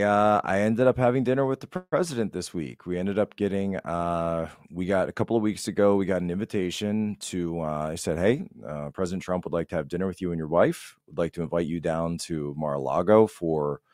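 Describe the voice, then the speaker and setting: A man's voice: sits low, normal speed, darkish tone, no echo, studio quality. A man giving conversational political commentary as a podcast guest, emphatic and repeating phrases to drive points home.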